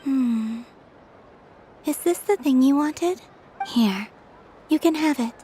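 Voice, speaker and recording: A woman voicing a gentle, serene young moon goddess in a video game, calm and understated. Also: sits high, slow pace. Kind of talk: soft video game acting. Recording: faint music behind the voice.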